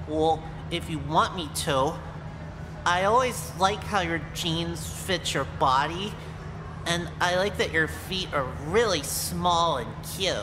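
A woman plays a nerdy young man in a visual novel game, creepy and fawning. The delivery is awkward and overeager. The sound has a long reverb and clear background noise. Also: lowish voice, takes it slowly. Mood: nervous, eager. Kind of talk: voiced visual novel dialogue.

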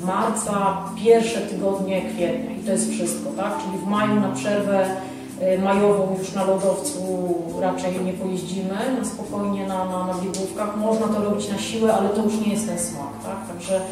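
The voice reaches us through a heavily reverberant room, some way off the microphone. It is a woman at an ordinary mid pitch, explaining things emphatically like an instructor being interviewed. Music is clearly audible behind her. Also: flat delivery, moderate pace. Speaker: ski and Nordic walking instructor, interview guest. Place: indoor ski-shop cafe. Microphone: handheld microphone with foam cover. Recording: echoey room, distant sound, music behind.

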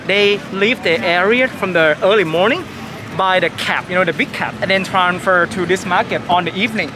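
A young man with a Thai accent and halting English, explaining things to tourists as a guide. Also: sits high. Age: young adult. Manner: halting, non-fluent English with repeated words. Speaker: tour guide. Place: outdoors by city canal and riverside. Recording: loud outdoor traffic and city noise.

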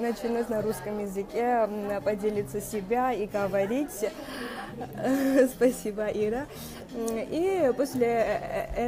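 A young woman coach hosting a live stream, speaking in a halting way with many fillers and a noticeable non-native accent, greeting viewers by name. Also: fairly high pitch. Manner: speaks Russian as a second language with a noticeable accent. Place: small room indoors. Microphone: wired earphone mic. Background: music playing.

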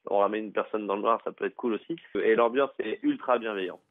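A man's voice: lowish voice, quick pace, no room sound. A man, a comedian chatting casually in an interview recorded on a reporter's microphone. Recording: dry, dull radio interview clip.

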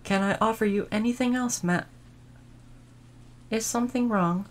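A woman playing a gentle, dutiful female android servant in an audio roleplay, speaking in formal, polite, measured phrases. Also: fairly high pitch, natural voice. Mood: polite, attentive. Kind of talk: audio roleplay addressed to the listener.

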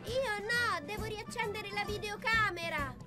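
A woman voicing a bossy, spirited young girl in an Italian children's cartoon, assertive and quick to complain. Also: extremely high pitch. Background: faint music.

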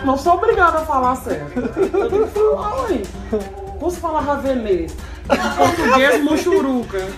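A woman's voice: sits high, big pitch swings, moderate pace, echoey room, over loud music. A woman volleyball player with a Minas Gerais accent, joking playfully and laughing as she shows off her regional way of talking. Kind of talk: joking casual chat.

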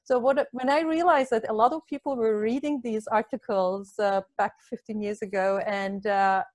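A woman's voice: a little high, moderate pace, dry recording. A woman, a cycling advocate chatting animatedly on a video call through an earphone headset. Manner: animated, many fillers. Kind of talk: casual panel chat. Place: home office on video call.

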